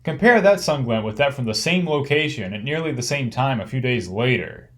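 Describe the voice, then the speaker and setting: Male lecturer explaining slides in measured phrases. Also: medium pitch, expressive, fairly bright tone. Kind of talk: narrated slide lecture on satellite imagery.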